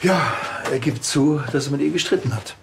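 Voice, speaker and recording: A man's voice: medium pitch, normal speed, vocal fry, heavy background noise, close to an everyday voice. Guy playing a cop in a tv crime comedy, dry and laconic, mid voice. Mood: deadpan. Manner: deadpan, laconic. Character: dry, unflappable middle-aged police detective.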